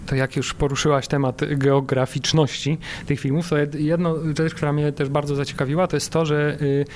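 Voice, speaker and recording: A man interviewing a guest in a conversational style, haltingly, stumbling over and repeating words. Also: a little high, flat delivery, darkish tone. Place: recorded in a cinema. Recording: noticeable background noise.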